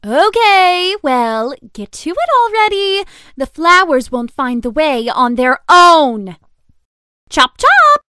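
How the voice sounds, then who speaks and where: A woman's voice: high-pitched, very animated, takes it slowly, pushed and bright, no echo, clean studio sound, heavily acted. A woman playing a haughty, bossy rich young lady in a visual novel, with an imperious, condescending manner.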